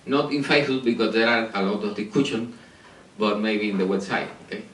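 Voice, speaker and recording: A man from Cuba with a Cuban Spanish accent asking a question from the audience in halting, apologetic English. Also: lowish voice, a bit bright. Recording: echoey room, distant mic, faint noise.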